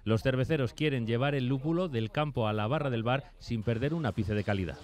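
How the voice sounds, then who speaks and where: A man's voice: mid-range voice, brisk, darkish tone. A man narrating a scripted television news report.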